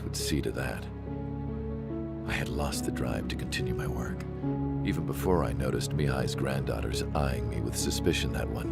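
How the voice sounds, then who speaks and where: Very deep, gravelly, remorseful scientist. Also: male voice, heavily acted. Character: weary, remorseful older Belkan male scientist. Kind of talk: scripted dramatic narration.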